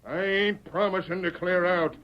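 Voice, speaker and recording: A dull-sounding vintage radio Western carries a man playing a former town sheriff. He is stubborn and defiant, with a fairly high American voice and folksy phrasing. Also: fairly even intonation, normal speed, close to an everyday voice. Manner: rustic, folksy speech. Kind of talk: 1940s radio Western acting. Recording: dull old radio recording.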